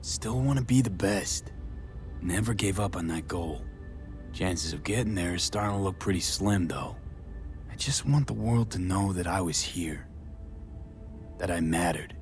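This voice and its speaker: A man's voice: deep voice, unhurried, long pauses, darkish tone, soft background music. An actor playing a hardened, weary male mercenary in a game cutscene, speaking in terse, sardonic, clipped lines. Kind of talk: video game cutscene acting.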